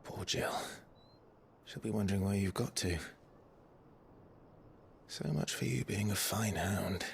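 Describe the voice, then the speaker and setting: A man's voice: lowish voice, a little slow, creaky, pauses often, a little hiss or hum. An actor playing a modest young nobleman knight in a video game drama, understated and self-deprecating. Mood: fond.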